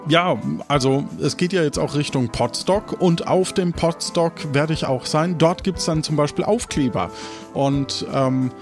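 A man's voice: a little high, a little slow, music in the background. A man hosting a role-play podcast as game master, switching between casual chat and narration.

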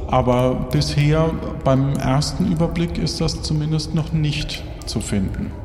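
A man's voice: fairly high pitch, steady tone, a little slow, a little mellow, soft background music. A man narrating an improvised tabletop game as game master, hesitantly piecing his descriptions together. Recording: echoey reverberant room with audible noise.

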